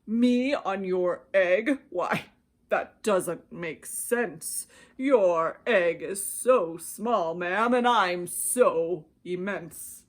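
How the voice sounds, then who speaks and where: Woman voicing a slow, earnest, faithful storybook elephant. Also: lowish voice. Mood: incredulous. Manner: slow, emphatic, drawn-out delivery. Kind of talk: children's storybook character voice.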